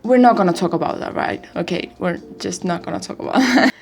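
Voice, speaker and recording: A woman's voice: fairly low pitch, a little fast, creaky. Giggly eighteen-year-old woman vlogger. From Europe. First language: not English. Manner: giggly, rambling, self-deprecating. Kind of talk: casual birthday vlog chat.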